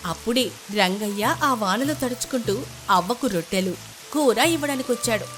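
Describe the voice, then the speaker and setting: A woman narrates a scripted tale with dramatic delivery. Her voice is high in pitch. Quiet music plays underneath. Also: expressive, moderate pace. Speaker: story narrator.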